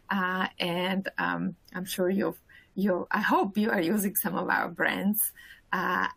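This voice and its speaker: A woman's voice: mid-range voice, vocal fry, no room sound, low-quality recording. A woman in her forties from Slovakia with a Slovak accent, introducing herself on a panel with many hesitant fillers. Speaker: panelist, corporate talent director.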